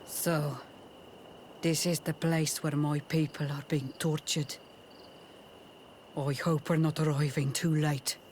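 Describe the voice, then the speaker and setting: A man's voice: on the high side, level tone, slow, long pauses, a little mellow, slight background noise. A man playing a stern, proud native war leader in a video game, grave and resolute. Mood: grim resolve.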